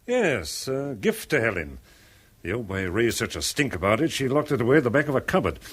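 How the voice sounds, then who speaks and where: A man's voice: medium pitch, sing-song, a little fast, a bit bright, a little hiss or hum. An actor playing a flippant, cynical upper-class man in a 1970s radio drama, breezy, sardonic and callously offhand. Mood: gossipy.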